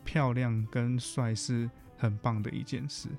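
A man's voice: medium pitch, steady tone, measured pace, darkish tone. A man with a Taiwanese accent speaks in a mid-range voice as a guest counselor. He talks casually and reflectively, circling back to rephrase what he means. Faint music plays behind him. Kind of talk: casual conversational interview.

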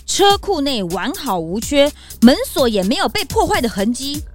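A woman's voice: high voice, sing-song, normal speed, soft background music. A woman playing an earnest, admiring young detective's assistant in an audio drama, with a perky manner. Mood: curious.

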